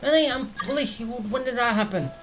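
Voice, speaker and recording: A man's voice: very high voice, brisk, a bit bright, a little hiss or hum, low-quality recording. A man giving casual gameplay commentary as a let's play host, thinking aloud informally. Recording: game music under commentary.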